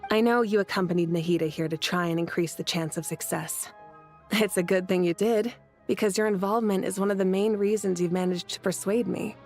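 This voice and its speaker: A woman's voice: medium pitch, fairly even intonation, fairly quick, a little mellow, close to an everyday voice. Woman playing a breezy, self-assured female leader. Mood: breezy, confident. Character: confident easygoing female leader god of a fiery nation. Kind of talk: video game voice acting. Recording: game music behind.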